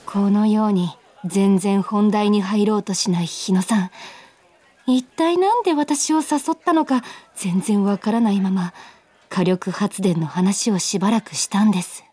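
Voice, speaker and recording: A woman's voice: medium pitch, a little slow, lightly acted. An actress playing a polite, easily flustered young female office worker in a Japanese radio drama, speaking expressively. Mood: wry narration. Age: young adult. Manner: polite junior speech, expressive. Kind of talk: Japanese radio drama acting with narrated asides.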